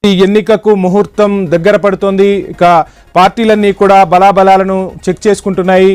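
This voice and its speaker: A male news anchor, reading breaking political news in a brisk, formal broadcast manner. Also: sits high, darkish tone, clear, resonant tone.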